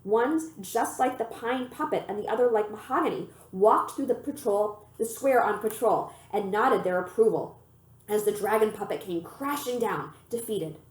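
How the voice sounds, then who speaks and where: A woman reading a children's novel aloud in an expressive, storytelling manner. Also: mid-range voice, moderate pace. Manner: animated storytelling, acts out the dialogue. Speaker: library reader of children's books. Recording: echoey room.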